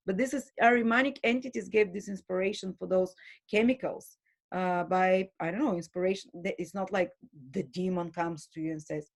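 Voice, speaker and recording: A woman giving an earnest spoken lecture as a spiritual teacher, pausing and restarting her phrases often. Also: on the low side, fairly even intonation, vocal fry. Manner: earnest, with frequent restarts and hesitations.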